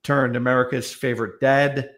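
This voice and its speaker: A man's voice: medium pitch, a bit bright. A man speaking casually as a guest on a chat show. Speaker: guest on a talk show, comedy writer.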